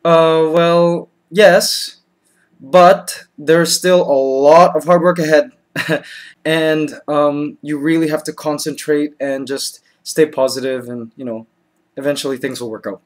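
A man's voice: on the high side, fairly even intonation, slow. A man in his thirties talking in a comedy vlog, patient and laughing, recorded close on a webcam in a small bedroom. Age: 30s. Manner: patient then exasperated, laughing. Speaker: comedy vlogger.

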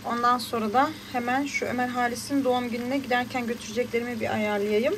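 A woman's voice: fairly high pitch, level tone, fast talker, background noise. A woman in her thirties chatting casually and colloquially as she narrates her everyday vlog. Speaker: vlogger. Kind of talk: casual vlog narration while shopping and cooking.

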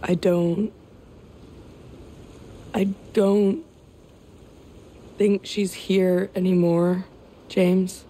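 An actress playing a fragile, traumatized young woman in a video game drama, speaking in a hesitant, halting way. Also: lowish voice, flat delivery, warm tone, slight background noise, rough recording. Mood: sad, withdrawn. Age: young adult.

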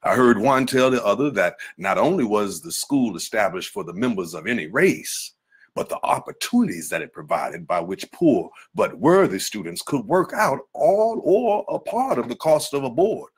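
A man giving a dramatic first-person reading of a memoir in an expressive storytelling manner. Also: medium pitch, moderate pace, breathy edge. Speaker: reader performing a historical autobiography.

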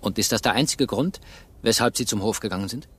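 An actor playing a shrewd, sardonic police inspector who probes and gives orders in a German radio crime play. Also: male voice, fairly low pitch, fairly quick, a bit bright, breathy edge. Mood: suspicious. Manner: probing, sardonic, commanding.

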